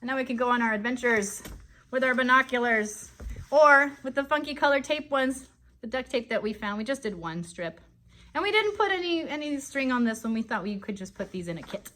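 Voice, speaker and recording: A woman's voice: sits high, lively intonation, a little fast, pushed and bright. A woman hosting a children's show, explaining things step by step in simple words and checking in with viewers. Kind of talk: friendly craft demonstration for children.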